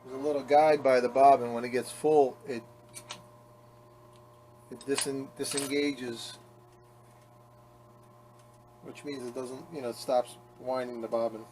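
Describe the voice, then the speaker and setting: A man's voice: medium pitch, steady tone, unhurried, long pauses, dark tone. Joking man chatting in a workshop. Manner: chatty, joking, self-mocking. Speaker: leatherworker. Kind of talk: casual workshop narration. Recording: workshop noise behind the voice.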